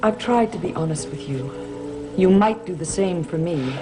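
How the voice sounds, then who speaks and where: A woman's voice: fairly low pitch, animated, moderate pace, over music, natural voice. Woman acting an earnest, wounded gothic heroine. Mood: hurt, pleading. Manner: sincere, pleading. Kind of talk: gothic soap opera acting.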